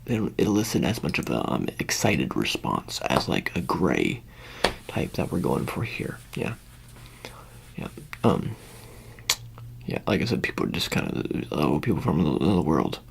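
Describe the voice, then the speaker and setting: A man doing an improvised ASMR roleplay in whispers and soft speech, rambling and hesitant with a deadpan delivery, close to the microphone. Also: extremely low pitch, measured pace, vocal fry, slight background noise.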